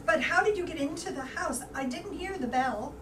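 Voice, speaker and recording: Very high-voiced, flustered housemaid played by a woman. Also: normal speed, close to an everyday voice. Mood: flustered, admiring. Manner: hesitant, repeating words, earnest. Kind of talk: staged play reading. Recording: distant mic, echoey room, background noise.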